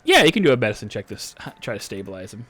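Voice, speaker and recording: A man's voice: lowish voice, wildly swooping pitch, rapid-fire, fairly bright tone. A man acting as game master, narrating the action and explaining rules in a casual tabletop game.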